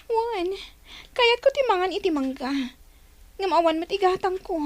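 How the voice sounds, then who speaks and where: A very high, girlish voice plays a young pregnant wife in an Ilocano radio drama. She is whiny and wheedling, pleading her case with an Ilocano accent. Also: animated, moderate pace. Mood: whiny, craving.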